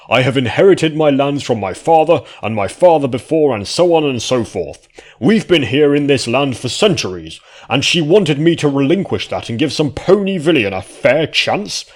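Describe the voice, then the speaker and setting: A blustering aristocrat pony from a fantasy tale put on by a male reader. He is pompous and entitled. His indignant speech is loud and pushed up in pitch. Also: very animated, normal speed. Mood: outraged. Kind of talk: dramatic fan fiction reading.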